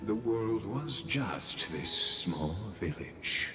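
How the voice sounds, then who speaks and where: A poised, enigmatic storyteller from a fantasy game, deep-voiced and unhurried. He guides listeners with theatrical, teasing poise, his words trailing into long reverb. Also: sing-song, exaggerated voice. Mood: wistful, admiring. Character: poised, enigmatic male storyteller guiding visitors through memories. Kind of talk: dramatic game dialogue narration. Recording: echoey long reverb, music behind.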